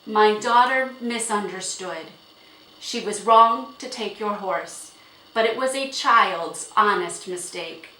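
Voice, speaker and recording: A woman voicing a firm, principled middle-aged father in an audiobook, with formal, deliberate speech. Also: mid-range voice, bright, forceful tone, echoey room, low-quality recording. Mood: firm, indignant. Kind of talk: audiobook character acting.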